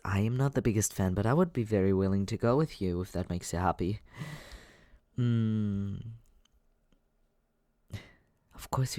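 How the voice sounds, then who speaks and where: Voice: medium pitch, dark tone, dry recording, studio quality, close to an everyday voice. An actor voicing a teasing but caring young companion in an intimate, conversational ASMR comfort roleplay, hesitant and reassuring. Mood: musing, caring.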